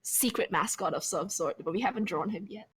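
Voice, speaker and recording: A woman's voice: medium pitch, fairly quick, breathy edge. A woman hosting a casual podcast interview, chatty and often trailing off with fillers. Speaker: podcast host.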